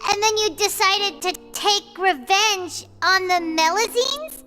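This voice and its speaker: A woman's voice: extremely high pitch, takes it slowly. A perky, tiny game companion girl. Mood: curious. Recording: game audio with music behind.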